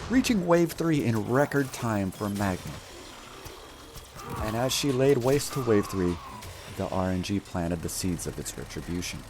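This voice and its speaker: A man's voice: lowish voice, animated, a little slow. Theatrical, swooping male storyteller over game noise. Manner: theatrical, grandiose, mock-solemn storytelling. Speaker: video storyteller and gamer. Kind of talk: scripted mock-epic narration.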